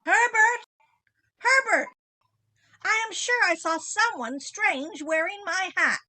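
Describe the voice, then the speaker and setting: Radio comedy matriarch played by a woman: old, haughty, easily vexed, theatrical swoops, unhurried delivery. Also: extremely high pitch, animated, bright, forceful tone, heavily acted. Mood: indignant alarm. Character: imperious, easily vexed old mother, grande dame. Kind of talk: comic old-time radio play acting.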